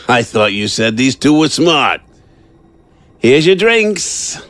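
A man's voice: mid-range voice, very animated, slow pace, a bit bright. A man acting in an audio drama as a gleeful, snide bartender who serves an elder god, relishing his words.

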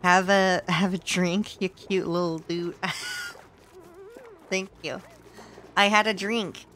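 A woman streaming a game with casual, playful commentary, laughing often, her voice a little hoarse and sore. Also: on the low side, steady tone, slow, a bit bright, slight background noise. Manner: giggly, playful, exclaims at the game.

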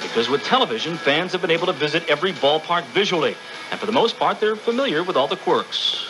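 A man's voice: on the high side, sing-song, fast talker. An American man narrating a scripted radio news feature. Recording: news radio recording with faint noise.

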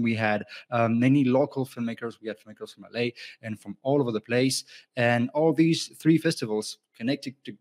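A man, hosting a festival panel over a video call and speaking in a friendly way with many fillers. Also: medium pitch. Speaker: festival host and panel moderator. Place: home room. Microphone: earphone headset on video call.

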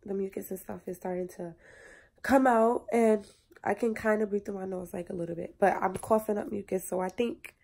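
A woman's voice: mid-range voice, fairly quick, vocal fry. Chatty young woman, stuffy cold voice. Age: young adult. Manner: chatty and animated, slangy. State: congested, recovering from a cold. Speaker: vlogger. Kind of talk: casual vlog talk to camera. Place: small room indoors. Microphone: phone camera at arm's length.

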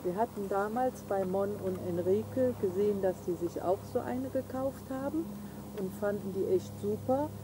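An older woman chats easily to a camera a few meters off, sitting outdoors on a campsite lawn. Her talk is loose and friendly, full of little fillers, with clear outdoor noise underneath. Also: medium pitch, moderate pace. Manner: chatty, frequent fillers, finishing each other's sentences. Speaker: camping vlog co-host. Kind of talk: casual chat to camera. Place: outdoors on a campsite lawn beside a camper van. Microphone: camera mic from a few meters.

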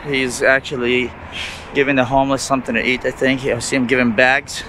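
A man walking city sidewalks, narrating a vlog in halting, rambling commentary with loose grammar. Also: mid-range voice, flat delivery, sharp tone. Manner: rambling, halting, with ungrammatical phrasing. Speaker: vlogger. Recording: outdoor street noise.

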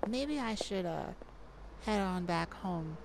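A woman's voice: fairly low pitch, expressive, takes it slowly, gravelly, noisy background, heavily acted. A woman playing a playful, shyly romantic lady thief in an ASMR audio roleplay, with a teasing, hesitant manner. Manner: teasing, hesitant, breaking into soft laughs. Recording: music behind the voice.

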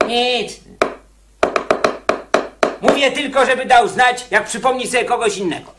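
An actor playing an anxious, eager, simple-minded prisoner in a radio drama, excitable, pleading and insistent. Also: male voice, very high-pitched, unhurried, very noisy. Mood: nagging.

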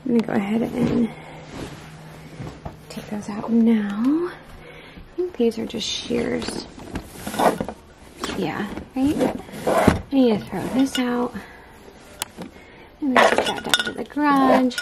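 A woman's voice: a little high, slow pace, pauses often. A young adult American woman chatting casually to viewers, thinking aloud in a meandering, informal way, recorded on a handheld camera at home. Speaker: vlogger, mother preparing for a baby. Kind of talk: casual vlog chat to viewers. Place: inside a home, moving between rooms. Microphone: handheld camera mic. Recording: handheld camera with clear background noise.

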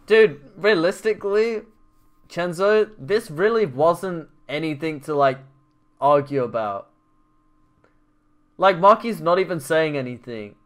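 A man's voice: fairly high pitch, lively intonation, a little slow, pauses often. A man in his twenties reacting casually on a stream, bantering loosely and profanely with his chat over a headset mic. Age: 20s.

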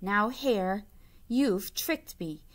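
A woman voicing a sleepy, grumpy, gullible male bear in a storybook, with drawn-out complaining. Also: mid-range voice. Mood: indignant.

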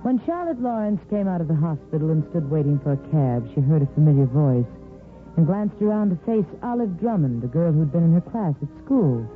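A thin vintage radio sound with faint music carries a woman storyteller. She narrates a 1950s soap opera knowingly, with dramatic phrasing and swooping, animated intonation. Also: deep voice, brisk. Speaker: storyteller host. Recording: tinny 1950s radio recording.

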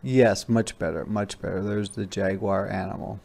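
A man's voice: low-pitched, fairly even intonation, moderate pace, creaky, a little mellow. A man reading a picture book aloud as a teacher, explaining things simply and conversationally for children. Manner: conversational, repeats phrases.

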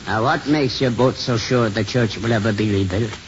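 An actor playing a sentimental, fretful but kindly elderly priest, halting and repeating himself in 1950s radio drama style. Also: male voice, lowish voice, natural voice. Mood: despondent. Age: older. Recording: muffled, noisy 1950s radio recording.

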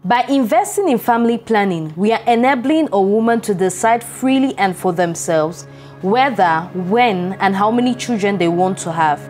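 A woman giving calm, clear scripted narration. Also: mid-range voice, moderate pace, soft background music.

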